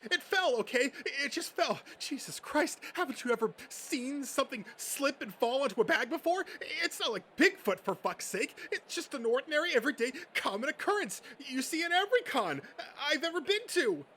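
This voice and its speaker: A very high, strained nerd voice, whiny and indignant. He is defensive and foul-mouthed, a cartoonish comic-dub character with faint noise behind him. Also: very animated, normal speed, hardly any pauses, sharp tone, full character voice. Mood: panicked indignation. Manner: whiny, indignant excuse-making. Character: shrill, defensive, foul-mouthed male nerd caught shoplifting.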